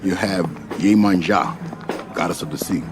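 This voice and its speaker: A man's voice: low-pitched, measured pace. A man acting a hearty, worldly voodoo scholar and old friend in a radio play. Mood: instructive. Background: music.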